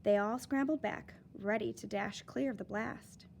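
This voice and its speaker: A woman teacher reading a children's picture book aloud, with lively, expressive storytelling and dramatic emphasis. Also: mid-range voice, unhurried, vocal fry, a little hiss or hum.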